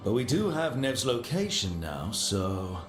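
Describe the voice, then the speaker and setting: A man's voice: medium pitch, big pitch swings, unhurried. An actor playing an earnest, questioning male hero in a fantasy video game. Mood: wry, questioning. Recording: roomy sound under game music.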